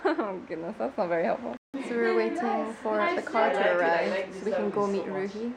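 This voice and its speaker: A woman's voice: medium pitch, a little fast, over a noisy crowd. A woman speaking casually and informally as she vlogs on the go during an aid mission. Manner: informal, chatty. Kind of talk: casual handheld vlog commentary. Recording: loud music over on-location vlog audio.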